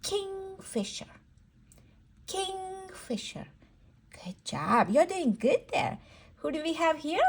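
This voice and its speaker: A woman's voice: fairly high pitch, fairly bright tone. A woman leading a read-aloud word lesson for toddlers, sing-song and encouraging, repeating words for a small child. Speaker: children's educator and host.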